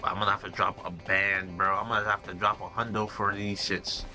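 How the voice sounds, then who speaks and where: A young gaming streamer rambles casually over his play, full of slang, half talking to himself. His voice is slightly low but very sharp and bright. Faint music runs behind him. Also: flat delivery, a little fast. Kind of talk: casual let's-play commentary.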